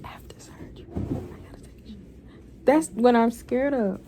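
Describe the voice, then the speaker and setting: A woman's voice: on the high side, moderate pace, in a whisper. A young woman, chatting candidly into her phone camera in a hospital room, often laughing. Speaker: vlogger. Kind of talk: casual hospital vlog.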